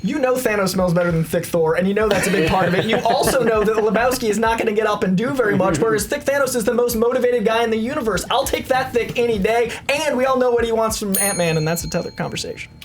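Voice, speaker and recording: A man's voice: high voice, quick pace, hardly any pauses, a loud crowd. A man competing in a casual debate show, arguing emphatically and with earnest conviction over silly points. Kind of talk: fast, casual competitive argument.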